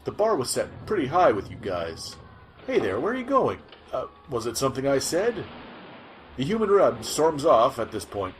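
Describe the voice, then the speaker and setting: A man acts out an excitable field reporter in a comic sci-fi radio play. He is clueless and pushy, with a mid-range voice that swings up and down. Some noise sits behind him. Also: medium pitch, normal speed. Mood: prodding, then curious. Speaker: news reporter interviewer. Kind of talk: amateur comic audio drama narration.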